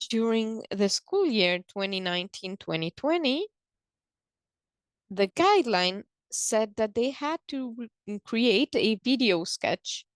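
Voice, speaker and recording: A woman presenting and explaining in a measured, halting way, pausing between short phrases. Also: fairly high pitch, animated, smooth, no room sound. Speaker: presenter on a conference call.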